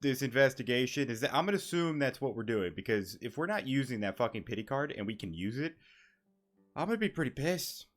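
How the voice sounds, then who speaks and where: A man's voice: mid-range voice, very animated, fairly quick. A man giving casual let's-play commentary, blunt and sweary, with muttered asides. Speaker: gaming streamer reacting to a story quest. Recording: background game music.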